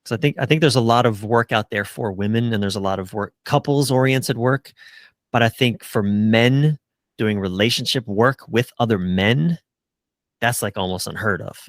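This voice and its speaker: Low-voiced man talking earnestly, dry recording. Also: moderate pace, no echo. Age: middle-aged. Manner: earnest, casual, swears. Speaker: relationship coach, podcast guest. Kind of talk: conversational interview answers.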